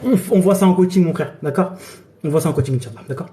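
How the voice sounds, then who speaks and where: Male coach, hoarse from a cold, high-pitched, chatty and repetitive on a phone live stream. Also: very fast, warm tone. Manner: chatty, repeats himself, many "d'accord" and "frère". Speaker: fitness and nutrition coach. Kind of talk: casual TikTok live chat. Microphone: phone during a live stream.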